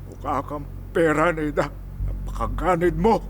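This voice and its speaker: A man's voice: on the high side, normal speed, a little hiss or hum, natural voice. A man playing an emotional, protective old grandfather in a Filipino radio drama, speaking emphatically and pleadingly. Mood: bitter reproach. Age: older. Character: frail, loving old grandfather, reproachful and protective.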